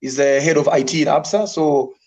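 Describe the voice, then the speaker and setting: A man on a committee call, talking in a relaxed way with many "you know" fillers. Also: a little high, fairly quick. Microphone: online call. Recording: dull lo-fi call audio.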